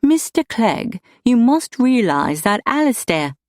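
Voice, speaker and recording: A fiery young lady acted by a woman reader pleads and argues with heated emphasis. Her pitch climbs and swings widely, while the pace stays measured, in a dry storybook recording. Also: big pitch swings, darkish tone, no echo, heavily acted. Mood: desperate pleading. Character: fierce, passionate, stubborn young lady in love. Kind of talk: audiobook character dialogue.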